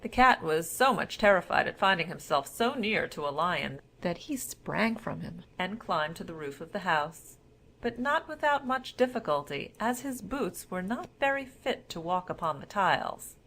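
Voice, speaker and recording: A woman storyteller narrating a scripted fairy tale in a formal, measured manner. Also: lowish voice, very animated, a bit bright, slight background noise, rough recording.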